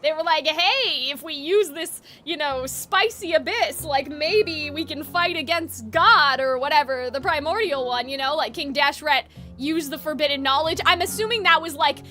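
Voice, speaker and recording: A woman's voice: high voice, moderate pace, few pauses, bright, forceful tone. A woman chatting casually to viewers on a live game stream, informal and exclamatory, swearing freely. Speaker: video game streamer, host. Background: music.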